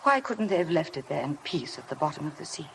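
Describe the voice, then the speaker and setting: An earnest, anxious young wife. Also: female voice, low-pitched, very animated, quick pace, a little hiss or hum. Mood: worried. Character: meek, anxious young wife narrating her story. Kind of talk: 1950s radio drama acting. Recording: vintage 1950 radio broadcast.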